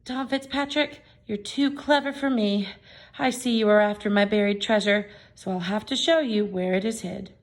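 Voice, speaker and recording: Woman reading as a tiny old leprechaun man, sly, grumpy, sharp-tongued, storybook character. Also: medium pitch, moderate pace, natural voice. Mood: resigned. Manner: sharp, scolding, whining.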